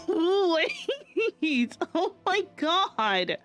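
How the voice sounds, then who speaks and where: A woman game streamer giving casual, expressive reaction commentary and thinking aloud as she plays. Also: extremely high pitch, drawn-out words. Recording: game music behind.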